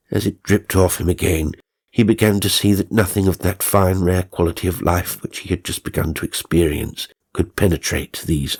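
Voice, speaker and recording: A man narrating a classic ghost story in a measured, dramatic manner. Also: low-pitched, vocal fry.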